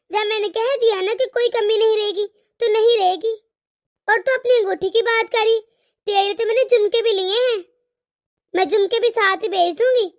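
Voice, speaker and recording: An imperious older mother-in-law from an animated family story , played by a woman, with an extremely high voice. She is sharp-tongued and emphatic, and fiercely devoted to her daughter. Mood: reassuring, proud. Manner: scolding, emphatic rhetorical questions. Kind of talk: animated family drama dialogue.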